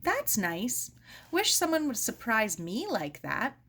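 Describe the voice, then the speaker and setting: A woman's voice: fairly high pitch, huge pitch swings, slow, sharp tone, breathy edge, pitched above the performer's own voice, exaggerated voice. A woman reading a storybook, voicing a large, chatty, nosy woman with a folksy, inquisitive way of talking. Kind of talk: children's storybook read aloud.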